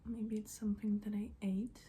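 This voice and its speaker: A young woman who is not a native English speaker, chatting in a soft, often half-whispered, rambling way as she does her makeup at home in front of a mirror. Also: medium pitch, level tone, moderate pace, breathy edge. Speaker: beauty and book vlogger. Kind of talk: get-ready-with-me chat.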